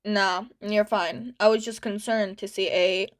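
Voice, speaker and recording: A woman doing a flat, tired male hero. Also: mid-range voice, a little slow, natural voice. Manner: flat, laconic.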